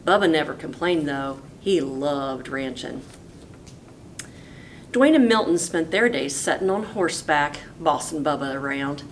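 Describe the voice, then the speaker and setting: A woman teacher reading a picture book aloud in a folksy, animated storytelling way. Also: unhurried. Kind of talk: expressive storybook read-aloud. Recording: noisy with low rumble.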